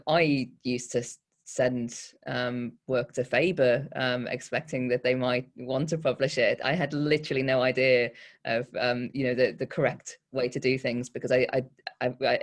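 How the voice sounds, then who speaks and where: A woman poet talks informally on a panel, giving practical advice. Her delivery is halting and candid, with a self-deprecating touch. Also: extremely low pitch.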